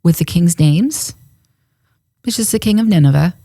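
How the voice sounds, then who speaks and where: A woman's voice: lowish voice, expressive, moderate pace, warm tone, dry recording, clean studio sound. A woman co-hosting a faith podcast, chatting casually and laughing often as she retells a Bible story. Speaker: radio and podcast co-host. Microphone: studio microphone.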